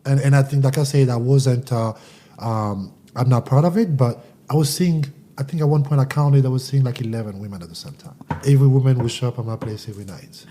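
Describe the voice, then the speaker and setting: A man's voice: mid-range voice, a little fast, mellow, slight background noise. A man from Central Africa, raised in France, speaking candidly and reflectively as a guest, often restarting his sentences. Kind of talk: casual interview.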